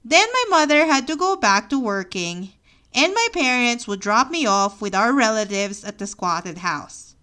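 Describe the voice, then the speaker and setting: Filipino woman, young adult, bright voice, lively pitch, earnest memoir reading, reflective questions. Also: a little slow. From Philippines. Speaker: podcast host. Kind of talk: reading a personal memoir aloud.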